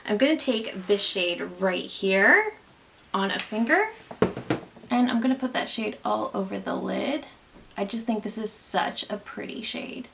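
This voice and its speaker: A young woman talks through her makeup routine in a relaxed, candid way, giving frank opinions on each product. Her voice has a noticeable gravelly fry, and she sounds a little congested from allergies. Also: mid-range voice, measured pace, background noise. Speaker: beauty vlogger. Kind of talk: casual makeup tutorial chat.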